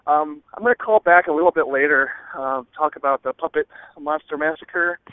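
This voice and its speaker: A man caller leaving a casual, rambling voicemail in hesitant, halting phrases over a phone line. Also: fairly high pitch, fairly even intonation, clean tone, dry recording. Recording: thin, dry phone line.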